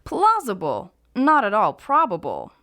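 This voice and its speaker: Accusatory businessman suspect played by a female reader, snarling and blunt, expressive pitch, mystery audiobook. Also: measured pace, full character voice. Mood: snarling, insistent. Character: snarling, accusing male baseball league owner.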